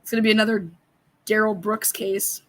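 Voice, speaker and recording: A middle-aged woman hosting a casual livestream, hoarse and raspy, reading viewer comments and ranting bluntly with lots of swearing. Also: medium pitch, flat delivery, fairly quick, a bit bright. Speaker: livestream host.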